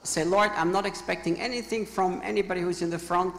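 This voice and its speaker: An Austrian man preaches in English, a second language, with a gravelly edge to his voice. He speaks in short phrases and leaves gaps for his interpreter. A faint hum sits under the recording. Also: high-pitched, steady tone, fairly bright tone. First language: German. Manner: speaks in short phrases, pausing for translation, plain and joking. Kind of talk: sermon with live interpreter. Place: church hall in Brazil.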